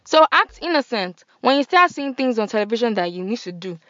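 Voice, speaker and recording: A young woman with a Nigerian accent hosting a podcast in casual, animated chat. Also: on the high side, brisk, no echo, lo-fi sound. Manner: chatty, animated, laughs and switches into pidgin. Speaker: podcast host.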